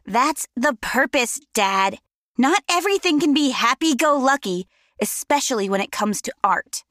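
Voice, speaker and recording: A woman's voice: sits high, lively intonation, moderate pace, a bit bright, no echo, clean studio sound. A woman playing a hurt, moody, artistic young daughter in an audio drama, sulky and accusing.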